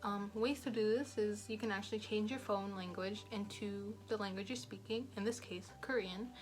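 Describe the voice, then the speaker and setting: A woman's voice: medium pitch, steady tone, moderate pace. A young woman giving casual language-study advice in a rambling, chatty way, full of fillers. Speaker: language learning vlogger. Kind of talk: casual talking-head advice.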